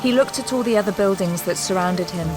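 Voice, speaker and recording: A woman narrating a scripted audio drama in a measured storytelling manner. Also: mid-range voice, music in the background, poor audio.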